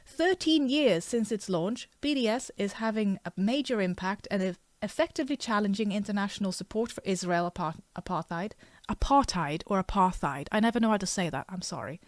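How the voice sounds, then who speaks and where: A woman's voice: medium pitch, expressive, a little fast, dry recording. A woman whose first language is not English, reading a web page aloud with occasional stumbles and breaking off to make frank, chatty asides. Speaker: artist and video creator.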